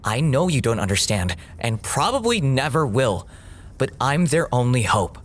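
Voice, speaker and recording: A man's voice: medium pitch, big pitch swings, normal speed. A young man playing an earnest, nerdy college student with a stubborn streak in an audio drama. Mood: earnest, resolute.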